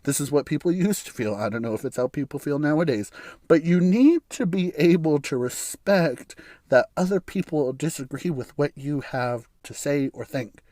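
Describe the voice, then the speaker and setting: A man from the United States hosting a solo podcast, his voice raspy and hoarse from bronchitis, rambling through his opinions with chuckles and frequent restarts. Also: fairly high pitch, expressive, normal speed, warm tone, no room sound, studio quality. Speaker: podcast host. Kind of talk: casual solo podcast monologue.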